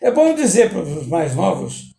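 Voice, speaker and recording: Older Brazilian man, creaky and high. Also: sing-song, normal speed, noisy background. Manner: lists old names from memory, chuckling. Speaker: guest. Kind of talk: casual reminiscing chat. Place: TV studio. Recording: echoey room.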